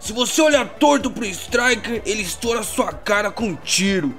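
A man's voice: high-pitched, animated, moderate pace, a bit bright, faint music behind. A man playing a brash, foul-mouthed street informant in an audio drama, slangy, taunting and boastful.

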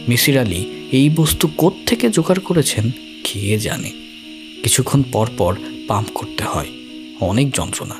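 A man reading a scripted first-person story as an audio story narrator. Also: medium pitch.

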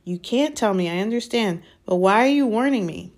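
A woman voicing an earnest, anxious boy wizard of about twelve in a storybook, speaking in a hurried, halting way. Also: medium pitch, animated, a little mellow, voice pushed up, natural voice. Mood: puzzled. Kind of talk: dramatised storybook reading.

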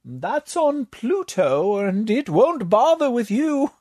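A man voicing a raspy male monster librarian who breaks into a shrill cackle as he talks, in a sly, gloating way. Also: very animated, slow pace, darkish tone, no room sound, clean studio sound, heavily acted.

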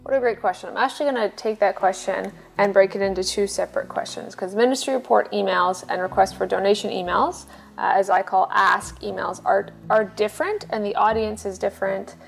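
A woman's voice: mid-range voice, fairly even intonation, a little fast, creaky, faint music behind. A woman speaking as a podcast guest, explaining practical tips in an informal way. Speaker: podcast guest giving advice.

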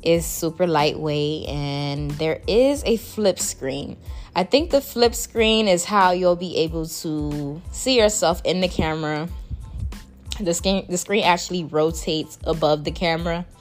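A young woman with a Caribbean accent speaks in a fairly low, soothing voice. She chats casually and playfully, laughing often and leaping up in pitch for emphasis. Soft music plays faintly behind her. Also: a little slow. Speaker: podcast host. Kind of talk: casual advice chat to listeners.

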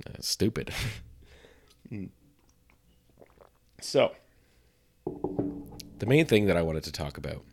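A hoarse man with a sore throat, hosting a podcast in a casual, self-deprecating ramble full of fillers. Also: low-pitched, darkish tone. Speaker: podcast host.

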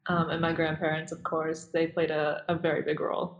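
Flat-voiced young woman, hesitant. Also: low-pitched, brisk, muffled recording. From Nazareth. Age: young adult. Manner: hesitant, many fillers and restarts. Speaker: guest. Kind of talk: casual interview conversation.

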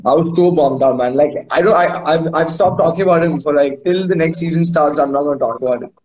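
A young man from Dubai in casual chat on a video call, relaxed and rambling with lots of slang. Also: a little high, fast, breathy edge, muffled recording. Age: young adult.

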